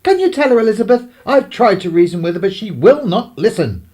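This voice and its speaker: A man acting a domineering English clergyman in a radio play. He is middle-aged, stern and patronising. His delivery swings widely in pitch and drips with pompous scorn. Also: sing-song, a little fast, mellow. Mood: exasperated.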